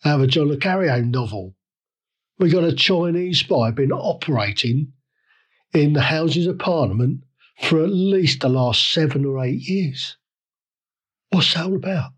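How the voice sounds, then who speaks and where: A man hosting his own podcast, ranting about the news. His voice is a little croaky and husky from a cold. He talks in indignant rhetorical questions and often stops to restart his sentences. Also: fairly high pitch, animated, a little slow. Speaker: podcast host. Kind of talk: solo opinion rant.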